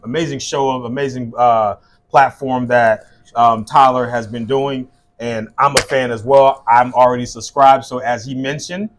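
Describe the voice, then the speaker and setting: A man's voice: medium pitch, steady tone, normal speed, a little hiss or hum. Casting: man in his thirties, from South Sudan and Liberia, earnest and easygoing, guest on an interview. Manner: warm, earnest storytelling with frequent fillers. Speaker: guest, skincare business owner. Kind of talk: casual interview conversation.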